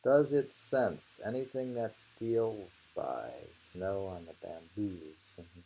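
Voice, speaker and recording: Deep, slow, gravelly man reading poetry. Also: warm tone, rough recording. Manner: musing, repeats lines deliberately. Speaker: reader of haiku poetry. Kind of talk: reading poems aloud with informal commentary. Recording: noticeable hiss and background noise.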